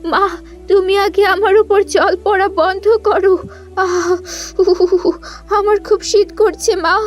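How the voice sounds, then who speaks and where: A woman's voice: very high voice, fairly even intonation, slow pace. A woman voicing a sick, shivering little girl in a cartoon, whining and pleading. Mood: plaintive. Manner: whiny, pleading. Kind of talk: cartoon voice acting. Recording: faint background music.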